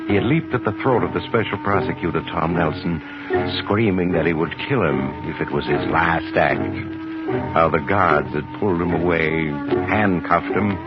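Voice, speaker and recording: A man narrating a 1950s radio drama in a grave, deliberate, dramatic storytelling style. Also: sits low. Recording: dull vintage 1950s radio recording. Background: loud dramatic music.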